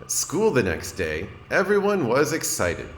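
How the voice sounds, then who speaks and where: A man, a kindergarten teacher, reading a storybook aloud to young children in an expressive, deliberate way and addressing his listeners. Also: mid-range voice. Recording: roomy echo, noticeable background noise.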